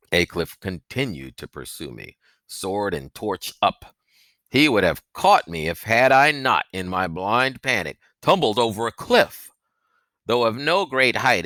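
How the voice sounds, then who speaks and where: A man narrating an audiobook in an expressive, suspenseful, dramatic storytelling style. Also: sits low, no room sound.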